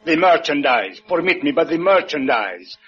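A man's voice: a little high, very animated, moderate pace, a bit bright, faint music behind. An actor playing an anxious Cuban man in a radio drama, formal and repetitive, pleading with a Spanish accent. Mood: polite, insistent. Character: insistent, anxious Cuban man on shady business. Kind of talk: 1950s radio drama acting. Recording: dull lo-fi old radio recording.

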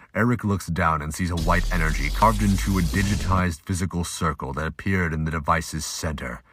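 A man's voice: rumbling low, a bit bright, breathy edge, dry recording. A man reading scripted dramatic narration as the narrator of an audio drama.

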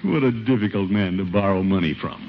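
An actor playing a blustering, needy middle-aged American neighbor in a 1950s radio comedy, exclamatory and easily flustered. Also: male voice, deep voice, brisk, lo-fi sound. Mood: scheming. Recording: noisy, band-limited 1950s radio recording.

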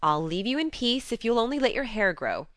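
A woman's voice: on the high side, sing-song, a little fast, a bit bright, no echo, clean studio sound, pitched above the performer's own voice. A woman voicing a blunt, hearty, tomboyish young woman who admonishes earnestly, as character dialogue in an audiobook. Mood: severe.

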